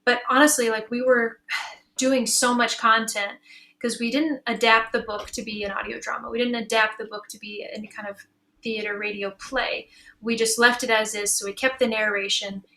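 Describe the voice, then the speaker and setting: American woman, bright forceful tone, informal conversational delivery, audibly echoey recording. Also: fairly high pitch, little pitch movement, moderate pace. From South Central New Mexico, United States. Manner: informal, chatty. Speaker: audio drama creator on a panel. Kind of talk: conversational panel talk.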